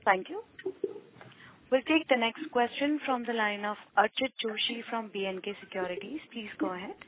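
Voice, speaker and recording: A woman's voice: on the high side, normal speed. A woman acting as a conference call operator, moderating in a formal, courteous, scripted way. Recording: phone-like line with faint noise.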